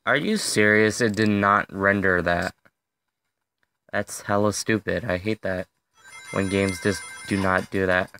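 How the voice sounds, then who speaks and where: A young man with a lowish, strained voice that is wearing out, talking slowly through a casual mobile game. He chats to his viewers and grumbles whenever the game acts up. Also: pushed and bright, slight background noise. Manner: chatty, easily frustrated, talks to viewers. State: strained, fading voice. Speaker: gaming video host. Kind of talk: casual gameplay commentary.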